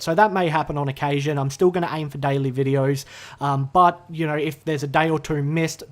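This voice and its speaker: A man with a fairly high voice talks straight to a camera in his own room, rambling loosely about nothing in particular. He fills his speech with "uh" and corrects himself as he goes. His voice is a bit hoarse from being sick. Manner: rambling, chatty, many fillers and self-corrections. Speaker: gaming YouTuber. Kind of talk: unscripted casual vlog ramble. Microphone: talking to a camera.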